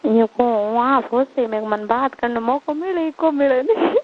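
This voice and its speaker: Female caller, Marwari accent, high voice, informal private conversation over a narrow phone line. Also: normal speed. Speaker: caller on a personal phone call. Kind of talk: casual intimate phone chat. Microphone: telephone line. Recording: thin, band-limited phone call recording.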